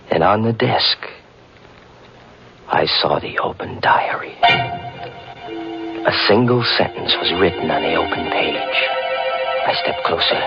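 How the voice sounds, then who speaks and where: An actor playing a furtively curious young man, telling his eerie tale in measured, suspenseful 1940s radio mystery narration. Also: low voice, creaky, heavily acted. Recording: muffled old radio broadcast, music behind.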